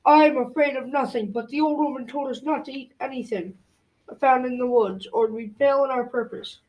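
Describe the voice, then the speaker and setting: A woman's voice: high-pitched, moderate pace, highs cut off, natural voice. A young female student playing a proud, bold prince knight in an amateur school radio drama, declaiming emphatically. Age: child or teen.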